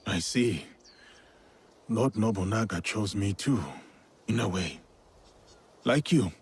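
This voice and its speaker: Game samurai, male: low register, loyal, reserved, formal and measured delivery. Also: a little mellow, husky, heavily acted. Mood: courteous. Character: grave, courteous samurai warrior, man, loyal and dutiful. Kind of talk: video game cutscene acting.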